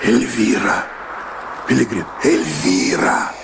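Genial, wry doctor and drinking companion, animated mid-range delivery, theatrical radio drama, noisy hissy recording. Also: male voice, lowish voice, slow pace, gravelly, full character voice. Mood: astonished. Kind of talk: radio play acting.

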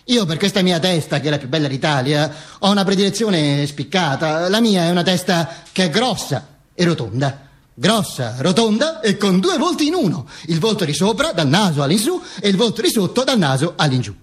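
An actor playing a vain dead dictator in a theatrical radio monologue, halting and pompous as he tells his own story. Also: high-pitched, big pitch swings, husky, heavily acted. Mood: boastful. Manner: halting, hesitant, with pompous self-regard.

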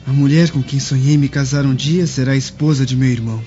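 A man's voice: mid-range voice, fairly even intonation, quick pace, mellow, close to an everyday voice. An actor playing a melancholy, lovesick, jealous young nobleman in a radio drama, earnest and brooding in delivery. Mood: brooding, bitter. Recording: dull lo-fi radio drama, music behind.

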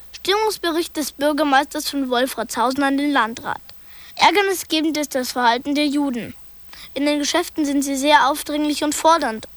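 Woman, high voice, detached document reading, dry studio sound. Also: fairly bright tone, no echo. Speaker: narrator.

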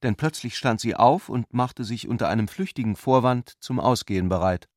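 An actor narrating a literary radio drama as a cool, intellectual brother, speaking in an articulate, measured way. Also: male voice, mid-range voice, dry recording, studio quality, natural voice. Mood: cool, detached.

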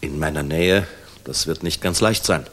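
An actor playing a world-weary, middle-aged general in a 1960s German radio play, speaking in a measured, solemn, reflective manner. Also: male voice, extremely low pitch, a bit bright, husky, heavily acted. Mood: earnest, consoling.

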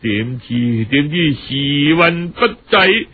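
A man's voice: fairly high pitch, expressive, phone-quality sound, very noisy. A man with a Cantonese accent telling a story in a dramatic, colloquial radio audiobook style. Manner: dramatic, colloquial, with drawn-out phrase-end particles.

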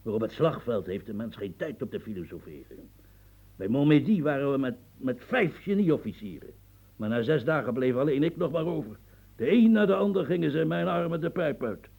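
Gravelly, rambling old marquis. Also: male voice, mid-range voice, expressive, fairly quick, a little mellow. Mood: wistful, musing, then boastful. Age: older. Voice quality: gravelly, husky. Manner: stammering, repetitive, musing, earthy, prods with "huh". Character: rambling, grumbling old French marquis, retired military officer. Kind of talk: radio play acting.

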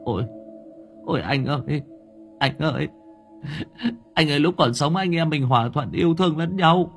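A man's voice: a little high, normal speed, gravelly, soft background music, pitched above the performer's own voice. A man voicing a terrified middle-aged man who stammers, pleads and wails.

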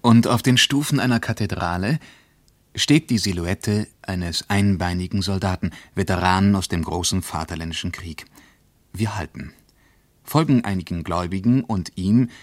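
Deep-voiced man reading a diary, intense. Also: moderate pace, no echo. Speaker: reader of a diary in a radio play. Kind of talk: scripted radio play reading.

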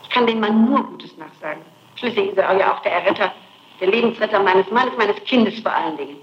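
A woman's voice: mid-range voice, lively intonation, fairly quick. A woman being questioned as a suspect, answering emphatically and insistently and repeating her phrases. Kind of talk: spontaneous interrogation testimony. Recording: dull, noisy, echoey archival tape.

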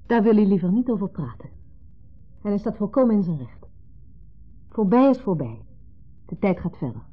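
An actress in a 1970s radio play voicing a composed, evasive wife with a Netherlands Dutch accent, who speaks in a measured, matter-of-fact way. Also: female voice, mid-range voice, animated, slightly rough, faint music behind. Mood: firm, evasive. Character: composed, evasive woman between husband and former lover. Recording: muffled, band-limited 1970s radio recording.

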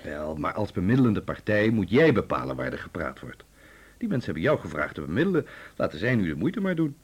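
A smug, lecturing husband in a radio play. His voice is fairly low and rough-edged, with very lively rises and falls. He gives advice in a self-satisfied, didactic way. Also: fast, exaggerated voice. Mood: smug, advising. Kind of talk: Dutch radio drama acting.

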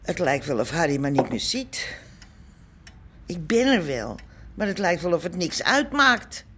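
Casting: a tired middle-aged landlady in radio drama, slightly low voice, downbeat. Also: sing-song, measured pace, noisy background. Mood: hurt, weary. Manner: resigned, downbeat. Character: weary, neglected middle-aged café landlady and wife. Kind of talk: naturalistic radio drama acting.

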